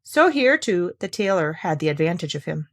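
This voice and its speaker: A woman's voice: fairly low pitch, sing-song, normal speed. A woman narrating a classic fairy tale, reading aloud from the text as a storyteller.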